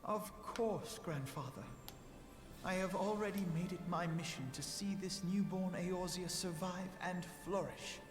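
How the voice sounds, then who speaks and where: An actor playing an earnest, dutiful young noble grandson in a game cutscene, speaking formally. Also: male voice, sits high, measured pace. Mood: earnest, resolute. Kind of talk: scripted dramatic game cutscene acting. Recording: echoey reverb, clear music behind.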